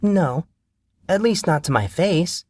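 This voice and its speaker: A man voicing a sharp-tongued, smug young woman student, talking in a dry, sarcastic, teasing way. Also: a little high, lively intonation, slow pace, dry recording, voice pushed up. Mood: deadpan. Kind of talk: audiobook character voice.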